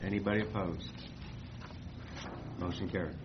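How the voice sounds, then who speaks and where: Man, low deep voice, chairing a meeting, measured and polite, clear background hiss. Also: steady tone. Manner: slow, measured, courteous. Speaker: committee chair. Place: meeting room. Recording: muffled meeting recording with hiss.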